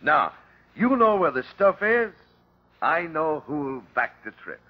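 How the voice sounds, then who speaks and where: Husky, cocky radio hustler. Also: male voice, fairly high pitch, big pitch swings, slow pace, heavily acted. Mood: persuasive. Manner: slangy, cocky, easygoing. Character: brash, breezy small-time male hustler, cocky and familiar. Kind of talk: old-time radio crime drama acting. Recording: muffled old radio recording.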